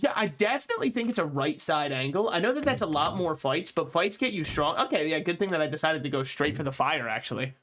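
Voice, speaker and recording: A man's voice: a little high, lively intonation, fast, few pauses, fairly bright tone. Joking young male game streamer. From United States. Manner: joking, rambling, self-mocking. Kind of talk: casual gameplay commentary.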